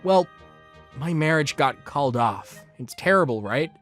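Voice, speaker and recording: Woman acting a whiny selfish grown son, swinging pitch. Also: lively intonation, measured pace. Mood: smug, scheming. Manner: whiny, pleading, melodramatic. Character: selfish whiny adult son begging his mother for money. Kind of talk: manga dub voice acting. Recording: music behind the voice.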